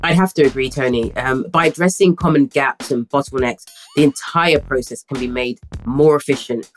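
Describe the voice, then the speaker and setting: A woman's voice: a bass voice, normal speed, soft background music. A woman co-hosting a business podcast in an upbeat, enthusiastic way. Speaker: podcast co-host.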